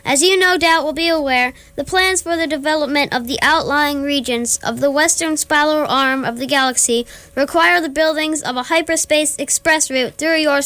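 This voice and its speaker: A woman's voice: sits high, a bit bright, dry recording. A woman playing an officious, impatient alien bureaucrat commander in an amateur radio comedy. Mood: officious announcing.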